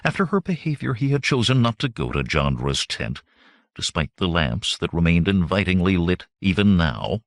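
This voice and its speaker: Deep, expressive male narrator. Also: moderate pace, no room sound, clean studio sound. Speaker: audiobook narrator. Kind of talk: dramatic scripted narration of fantasy adventure fiction.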